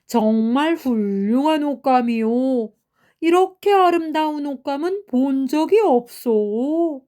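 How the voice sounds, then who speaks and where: Woman as a deferential old courtier, slow delivery. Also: sits high, animated, warm tone. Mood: flattering. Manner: deferential, formal, gushing praise. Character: old, timid, flattering royal courtier.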